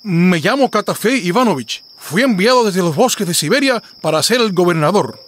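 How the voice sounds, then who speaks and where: A man voicing a timid male cat posing as a governor in an animated folk tale, hesitant and self-important. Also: high-pitched, moderate pace, slight background noise. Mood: nervous bluffing.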